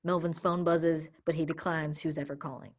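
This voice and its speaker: A woman's voice: deep voice, level tone, moderate pace, slight background noise. A woman reading stage directions as scripted narration for a play.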